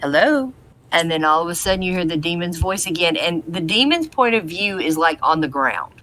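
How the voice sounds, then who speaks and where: Woman podcaster doing a casual recap, bit low but really bright sharp voice, chatty. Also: animated, fairly quick, slight background noise. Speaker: podcast co-host.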